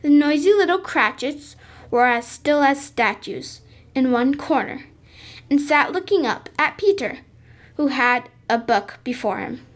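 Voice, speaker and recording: A third-grade child narrating a school radio play, reading slowly and carefully. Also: female voice, sits high, animated.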